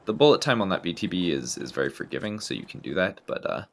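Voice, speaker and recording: Male speedrun commentator, very low gravelly voice, laid-back explainer. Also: quick pace. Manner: relaxed, explanatory, frequent ums and restarts. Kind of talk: live game commentary.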